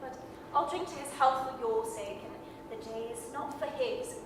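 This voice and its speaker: A woman playing an anxious, sharp-tongued Victorian wife and mother in a 1930s radio-style staged reading. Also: sits high, moderate pace, close to an everyday voice. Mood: indignant. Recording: echoey hall, distant mic, clear noise.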